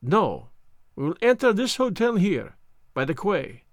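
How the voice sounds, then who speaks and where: A man voicing a fastidious, self-assured little foreign detective in an audiobook, with a French accent and deliberate, theatrical phrasing. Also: sits high, big pitch swings, no room sound, clean studio sound, exaggerated voice. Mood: calmly assured.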